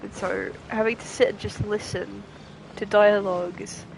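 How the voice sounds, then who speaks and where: A woman's voice: medium pitch, steady tone, unhurried, vocal fry, noisy background. A woman game streamer giving blunt, sardonic, casual live commentary, with game music behind.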